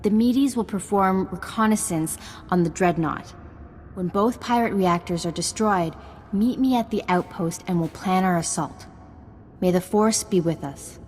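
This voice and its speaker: A woman's voice: mid-range voice, moderate pace, a little mellow, lightly acted. Actress as a composed female Jedi commander over music. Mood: businesslike. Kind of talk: scripted video game acting. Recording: game music behind.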